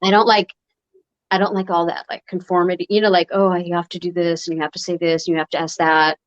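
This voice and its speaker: A woman's voice: on the low side, little pitch movement, quick pace, no room sound. A woman hosting a free-flowing interview, chatty and encouraging. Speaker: interview host. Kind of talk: casual interview chat. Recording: dry, dull lo-fi call audio.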